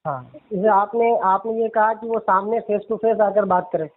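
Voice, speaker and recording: A man's voice: sits high, steady tone, moderate pace. A man calling to challenge someone, using polite words while needling and cutting in. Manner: interjecting, needling, polite wording. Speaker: caller. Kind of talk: challenging phone conversation. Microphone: phone call. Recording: narrow, dull phone-call audio.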